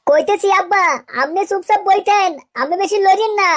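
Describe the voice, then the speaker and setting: A man voicing a bossy young daughter-in-law in a comic cartoon, nagging and argumentative in a pitched-up voice. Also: fairly quick. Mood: concerned.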